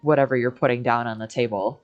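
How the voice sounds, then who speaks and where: Female game streamer, low-voiced, informal and chatty while playing. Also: steady tone, quick pace, lo-fi sound, faint music behind. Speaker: game livestreamer.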